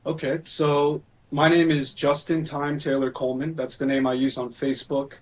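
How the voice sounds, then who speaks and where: A man from Hawaii, the founder of a church, calmly and politely introducing himself as a guest on a live show. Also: a little high, slight background noise. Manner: calm, polite, measured.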